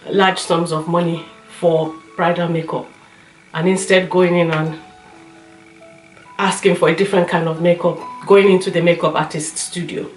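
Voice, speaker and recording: A woman with a slightly hoarse voice, chatting casually as a vlogger giving her opinions and telling anecdotes. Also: lowish voice, level tone, moderate pace. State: slightly hoarse, recovering from a cough. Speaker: YouTube lifestyle and beauty vlogger. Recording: roomy echo, light music underneath.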